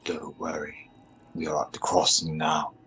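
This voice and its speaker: An amateur actor playing a gruff, commanding and sarcastic expedition driver in a sci-fi radio play. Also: male voice, very deep voice, monotone, a little slow, pushed and bright, heavily acted. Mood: tense, focused.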